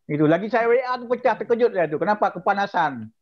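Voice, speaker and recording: A man's voice: high-pitched, lively intonation, quick pace. A man answering questions informally as an organization's chairman, repeating words for emphasis. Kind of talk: informal spoken explanation. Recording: slightly dull online call.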